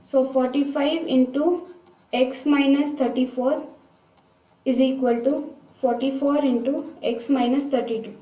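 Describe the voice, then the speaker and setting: A woman's voice: sits high, steady tone, measured pace, dark tone. A woman teacher methodically explaining a worked problem step by step, talking through each stage aloud. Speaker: science teacher. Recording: roomy echo, distant microphone.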